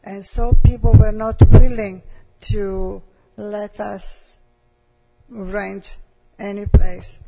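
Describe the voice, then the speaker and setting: A woman from Italy with an Italian accent, giving her personal testimony to a congregation and pausing often to find words. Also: mid-range voice, flat delivery, vocal fry, warm tone, a little hiss or hum. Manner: hesitant, searching for words. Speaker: preacher's wife giving testimony. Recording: dull sound with long hall reverb.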